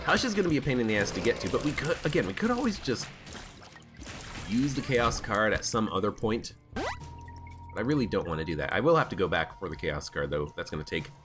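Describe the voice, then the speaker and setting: A man with a stuffed-up, hoarse voice, chatting casually as he plays a video game. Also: fairly low pitch, very animated, brisk, a bit bright. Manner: chatty, thinking aloud while playing. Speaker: gaming channel host. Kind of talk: casual let's play commentary. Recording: background game music.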